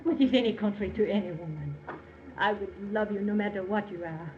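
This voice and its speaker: A woman's voice: mid-range voice, a little fast, natural voice. A woman playing a seductive, devoted French wartime spy with a French accent, earnest and dramatic. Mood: pleading, passionate. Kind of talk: 1940s-50s radio melodrama acting. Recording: muffled, noisy old radio recording.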